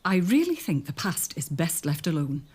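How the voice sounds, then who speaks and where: An actress playing a genteel sister-in-law in a period audio drama, who talks down gently and coaxingly. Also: female voice, fairly low pitch, big pitch swings, normal speed, husky. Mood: firm.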